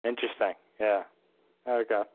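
A man's voice: on the low side, fairly even intonation, normal speed. A man co-hosting a call-in show, interviewing a guest with brief affirmations. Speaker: co-host. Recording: tinny phone line.

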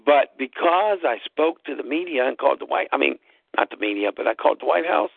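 Gravelly man on a tinny phone line. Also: medium pitch, lively intonation, brisk, dry recording. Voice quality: loud voice. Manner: emphatic, spells words out, repeats for stress. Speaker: talk show host. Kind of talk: casual storytelling monologue.

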